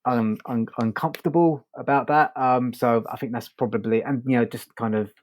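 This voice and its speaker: A man co-hosting a podcast, discussing casually in a halting, conversational way. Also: mid-range voice, fairly even intonation. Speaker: podcast co-host.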